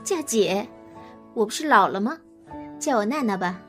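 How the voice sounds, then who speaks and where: A woman's voice: medium pitch, lively intonation, normal speed, a bit bright, faint music behind, natural voice. An actress in a Mandarin audio drama playing a bubbly, gushing young female talent agent. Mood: chirpy.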